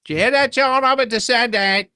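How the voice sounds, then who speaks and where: A performer voicing small, excitable boys in a storybook reading, with eager, naive, childlike questions. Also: mid-range voice, brisk, no room sound, clean studio sound, voice pushed up, natural voice. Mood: excited.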